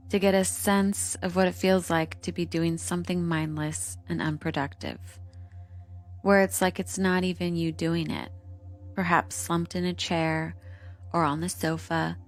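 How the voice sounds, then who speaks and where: A woman narrating a scripted guided meditation in a soothing, hypnotic delivery, with measured instructions and lingering pauses. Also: on the low side. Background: calming meditation music.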